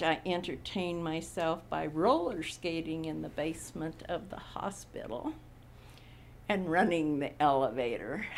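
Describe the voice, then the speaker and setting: A woman in her eighties from Montana, recalling her childhood slowly in an oral history interview. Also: lowish voice, a little hiss or hum. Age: 80s. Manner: slow, unhurried recollection with frequent pauses. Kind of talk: reminiscing in a recorded interview.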